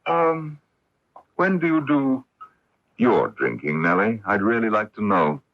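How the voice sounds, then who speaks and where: A man's voice: on the low side, big pitch swings, measured pace. An actor playing a brooding, sardonic middle-aged self-made husband and father in a radio drama. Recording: muffled early-1980s AM radio broadcast.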